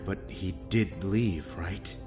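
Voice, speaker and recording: A man's voice: low-pitched, takes it slowly, over music. A man voicing a stern, blunt male squad captain who swears, in a fan-made parody drama. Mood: grave. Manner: blunt, curses.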